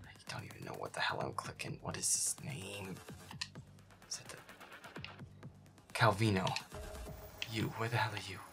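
A man muttering irritably and swearing to himself as he gives commentary on a horror game, his voice breathy and often half-whispered. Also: low-pitched, very animated, moderate pace, long pauses, music in the background. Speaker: gamer playing in character.

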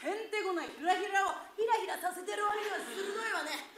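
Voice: extremely high pitch, brisk, a loud crowd. An actor playing a brash, belligerent female pro wrestler in a broad stage comedy, boastful and over-the-top. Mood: smug. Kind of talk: broad comic stage acting. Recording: noisy echoey theatre stage recording.

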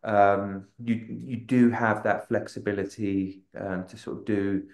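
A man's voice: fairly low pitch, little pitch movement, a little slow, vocal fry, a little mellow. A man in his thirties, a real estate agent on a webinar panel, answering at length with many restarts and filler phrases over a video call. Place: room with a large wall map. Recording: roomy video call audio.

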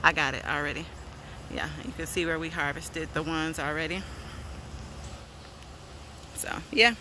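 A woman's voice: deep voice, steady tone, measured pace, background noise. A woman in her forties giving a casual, chatty tour of her backyard garden on a phone held at arm's length, laughing often. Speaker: vlogger.

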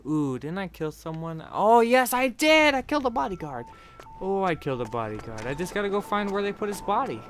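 A man's voice: sits high, big pitch swings, fairly quick, a little hiss or hum. A man commentating his own gameplay, joking and swearing, now and then putting on silly voices. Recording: game music underneath.

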